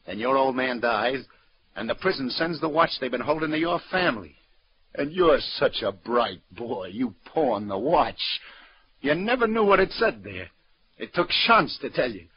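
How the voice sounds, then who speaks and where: An actor playing a world-weary, sardonic police detective in 1940s radio noir style, with slangy hardboiled talk. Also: male voice, mid-range voice, very animated, moderate pace, husky, no echo. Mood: wry, hard. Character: world-weary, wry New York police detective lieutenant, hardboiled narrator. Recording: muffled 1940s radio broadcast, music behind.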